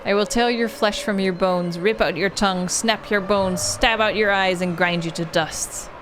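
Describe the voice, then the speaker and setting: A woman's voice: mid-range voice, fairly even intonation, moderate pace, noisy background, close to an everyday voice. A woman voicing a malevolent female monster in a horror visual novel, venomous and contemptuous, making threats. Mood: cruel threatening.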